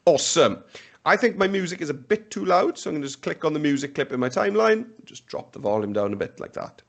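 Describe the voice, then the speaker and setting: Upbeat man demoing software. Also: on the high side, very animated, fast, vocal fry, soft background music. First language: Welsh. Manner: upbeat, chatty, thinks aloud. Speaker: webinar presenter. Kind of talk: live software demonstration. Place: indoor room, on a video call.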